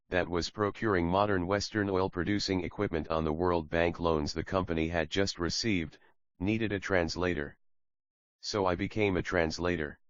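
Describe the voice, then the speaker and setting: A man in his fifties with a Russian accent, reading his own memoir aloud as an audiobook in plain, unhurried English that is not his first language. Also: low voice, a bit bright, no room sound, muffled recording. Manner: plain, unhurried reading with non-native phrasing.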